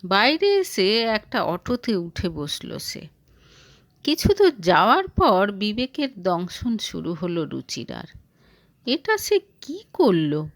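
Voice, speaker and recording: A woman narrating a romantic audio story in an expressive, emotive, dramatic way. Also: medium pitch, slow, no room sound, studio quality.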